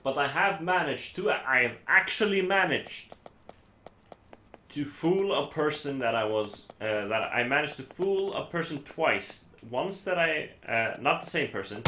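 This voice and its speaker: A man from Iceland with an Icelandic accent, a native Icelandic speaker, chatting casually as a game streamer in a halting, rambling, self-correcting way. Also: medium pitch, bright, forceful tone. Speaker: live streamer playing games. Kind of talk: casual chat while gaming. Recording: echoey room, faint background noise.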